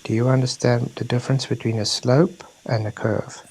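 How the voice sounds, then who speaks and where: A man's voice: mid-range voice, level tone, moderate pace, a little mellow, slightly rough, rough recording. A man from South Africa hosting a heated online debate and pressing for yes or no answers. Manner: insistent, pressing questions.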